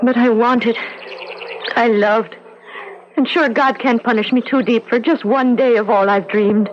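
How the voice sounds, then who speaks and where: A woman's voice: on the high side, steady tone, unhurried, lightly acted. Casting: woman as young Irish sweetheart, passionate and fatalistic, dull radio recording with birdsong and noise behind. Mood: tender, torn. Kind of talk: romantic radio drama acting.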